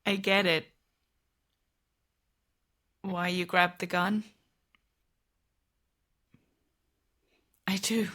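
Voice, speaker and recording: An actress playing a guarded, wounded woman survivor in an audio drama, speaking in a blunt, weary, halting way. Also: fairly low pitch, little pitch movement, fairly bright tone. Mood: firm, grudging. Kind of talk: naturalistic audio drama acting.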